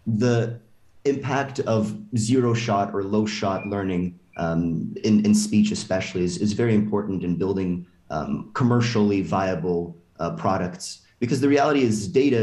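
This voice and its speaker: A man's voice: fairly low pitch, warm tone, reverberant. A man on a video call panel, talking as a startup CEO, often hesitating with fillers and restarts.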